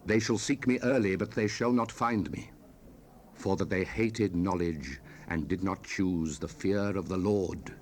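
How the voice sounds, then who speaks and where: Stern biblical father-sage, lowish pitch, solemn declamation, archaic scripture drama, slightly noisy cassette rip. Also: a little slow, a little mellow. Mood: stern, impassioned. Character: stern fatherly biblical sage, exhorting and warning his son. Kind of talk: dramatized King James scripture reading, 1970s audio production.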